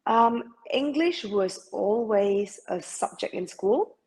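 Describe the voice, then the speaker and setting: A woman's voice: medium pitch, expressive, slow, darkish tone. A middle-aged woman from Malaysia, a masters swimmer talking as an interview guest, hesitant with many fillers and restarts. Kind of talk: interview conversation.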